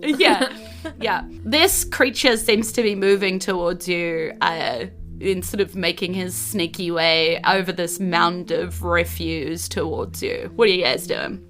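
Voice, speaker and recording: A woman's voice: fairly low pitch, measured pace, a bit bright, soft background music. A woman with a New Zealand accent running a tabletop roleplay as game master, informal, playful and encouraging. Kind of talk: casual tabletop roleplay narration.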